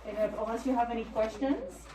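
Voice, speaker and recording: A woman giving an informal presentation in a loose, halting way with many fillers. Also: a little high, big pitch swings, echoey room, a crowd in the background, rough recording.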